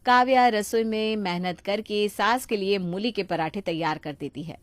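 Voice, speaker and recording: A woman's voice: mid-range voice, normal speed, no echo. A woman reading scripted narration for a moral story as its narrator.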